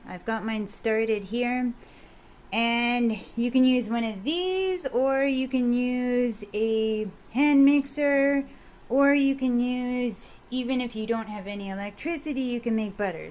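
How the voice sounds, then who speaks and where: A woman with a quiet voice, casually explaining a recipe in a home kitchen video, rambling a bit with fillers. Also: mid-range voice, a little hiss or hum. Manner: relaxed, rambling, with fillers and mild swearing. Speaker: home cook vlogger.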